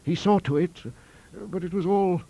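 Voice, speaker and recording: A man's voice: high voice, normal speed, vocal fry, mellow, voice pushed up, rough recording. A man voicing a fussy, delicate college master in a ghost story, with a hesitant, complacent, rambling manner. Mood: confiding, hesitant.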